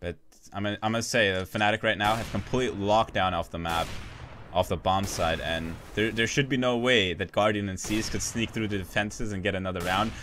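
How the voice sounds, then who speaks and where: A man casting a competitive shooter match on his own, calling plays quickly, restarting phrases and joking with his viewers between rounds. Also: on the low side, steady tone, a bit bright, slight background noise. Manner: rapid, chatty, self-interrupting, jokes with chat. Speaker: esports caster. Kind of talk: solo live game commentary.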